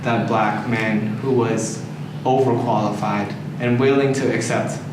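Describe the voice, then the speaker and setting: A Canadian man speaking in a measured, deliberate way as he shares his views in a group discussion. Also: fairly low pitch, fairly even intonation. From Toronto, Canada. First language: English. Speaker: discussion participant. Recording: echoey noisy room, distant mic.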